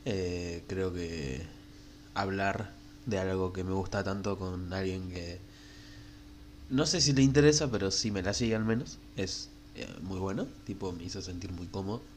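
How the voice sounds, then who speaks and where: Young Argentine guy with a bad cold, blocked nose, slightly low voice, rambling crudely through his weekly podcast. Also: moderate pace, a little hiss or hum. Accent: Rioplatense Spanish. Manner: rambling, crude and frank, many fillers. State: stuffy nose from a cold, coughing. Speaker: podcast host. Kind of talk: casual solo podcast monologue.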